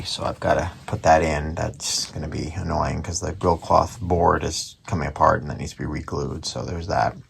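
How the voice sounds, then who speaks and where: A man's voice: low-pitched, vocal fry, fairly bright tone, breathy edge. An American man giving casual, unscripted repair commentary in a rambling, offhand way as a hobbyist at his workbench. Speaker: hobbyist repairer presenting radio restoration. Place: indoor workshop at a bench.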